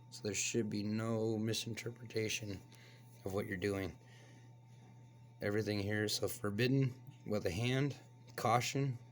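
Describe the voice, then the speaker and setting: A man with a hoarse voice from a cold, hosting a casual toy review and chatting as he unboxes. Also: fairly low pitch, slight background noise. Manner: relaxed, chatty, explains as he handles the toy. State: hoarse, getting over a cold. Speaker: toy reviewer, channel host.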